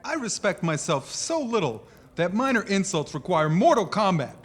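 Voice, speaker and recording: Pompous, high-voiced young duelist. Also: male voice, sing-song, normal speed. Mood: haughty, outraged. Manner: pompous, declamatory. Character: pompous, touchy young man itching to duel. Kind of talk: mock-heroic audio drama acting.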